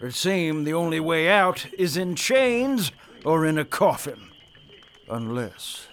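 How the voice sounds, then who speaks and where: An actor playing a fanatical, ruthless Confederate colonel, delivering grandiose oratory in a radio drama. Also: male voice, fairly high pitch, lively intonation, a bit bright, a little hiss or hum, full character voice. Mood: grim, commanding. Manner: grandiose oratory, slow and fervent. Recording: music under the voice.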